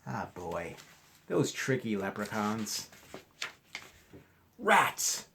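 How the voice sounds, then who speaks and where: A man reading a storybook aloud to children. His pitch swings widely and has a gravelly creak. He is expressive and often turns to the young listeners with questions and chatty asides. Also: moderate pace, noisy background. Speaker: children's storybook reader, teacher.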